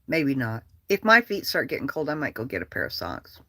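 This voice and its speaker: Bright, animated livestream host with a dry sound. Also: mid-range voice, fairly quick, dry recording. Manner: animated, profane, repeats phrases. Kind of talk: casual livestream chat, political rambling.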